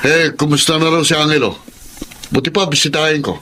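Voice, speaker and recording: A man's voice: a little high, steady tone, brisk, bright, forceful tone. A man playing a gossipy but earnest neighborhood drunkard in a Filipino radio drama, with a Filipino accent.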